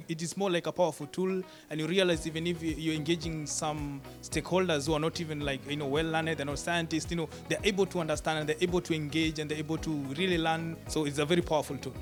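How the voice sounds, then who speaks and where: A man from Kenya, a doctoral researcher describing his game in an interview, hesitant and full of fillers. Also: fairly high pitch. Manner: hesitant, many fillers and restarts. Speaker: PhD researcher and game designer. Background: background music.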